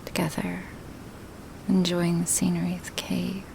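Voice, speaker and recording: A woman voicing a gentle, affectionate dragon woman in an intimate ASMR roleplay, breathy and hesitant, often slipping into whispers. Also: on the low side, completely flat delivery, background noise. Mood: tender, happy. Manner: slow, hesitant, with sighs and pauses. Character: gentle dragon woman, awkward, affectionate toward her human. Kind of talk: ASMR roleplay, spoken softly and intimately to the listener.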